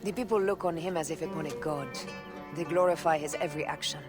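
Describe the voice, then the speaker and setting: An actress playing a serious, shrewd leader of secret assassins in a video game, speaking in a grave, controlled manner. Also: female voice, deep voice, fast talker, over music, natural voice. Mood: grave, accusing. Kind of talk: dramatic video game acting.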